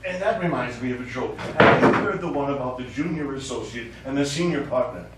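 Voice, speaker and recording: A man's voice: mid-range voice, fairly quick, natural voice. An actor playing a glib, money-hungry middle-aged male lawyer in a stage play, hemming and self-amused. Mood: jovial, self-amused. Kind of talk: live stage play acting. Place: small indoor theater studio. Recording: echoey stage recording from far off, faint noise.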